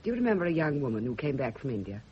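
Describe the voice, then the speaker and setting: A woman's voice: a bass voice, big pitch swings, very fast, slight background noise. A woman acting in a radio drama as a refined, cultured unmarried woman of middle age, genteel and earnest, with intense devotion held in restraint. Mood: fervent, reproachful. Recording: dull, muffled vintage radio recording.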